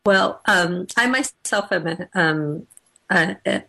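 A middle-aged woman from Afghanistan speaks as a guest on a radio show. Her voice sits a little low, and she talks in a halting way, with frequent restarts and fillers as she gathers her thoughts. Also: creaky, sharp tone. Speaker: author and teacher, guest. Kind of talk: radio interview.

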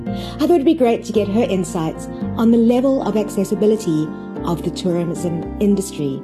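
A woman with a South African accent, speaking as a podcast host and interviewer. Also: mid-range voice. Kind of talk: scripted podcast introduction and interview.

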